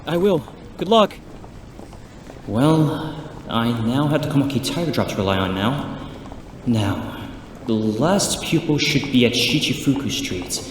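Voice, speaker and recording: A man's voice: medium pitch, lively intonation, unhurried, pauses often, a little hiss or hum, natural voice. A man playing an earnest, polite and direct young martial arts student in an amateur anime-style audio drama. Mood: determined.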